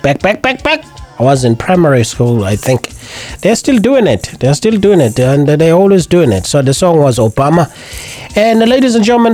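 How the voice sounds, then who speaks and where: A man hosting a community radio show, chatting in a casual, lively and enthusiastic way. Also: mid-range voice. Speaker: radio host. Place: radio studio.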